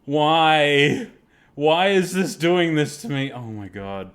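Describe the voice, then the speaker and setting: A man's voice: a little high, slow pace, a bit bright, smooth. A man hosting a live gaming stream, chatting casually in a self-deprecating, apologetic way with plenty of swearing.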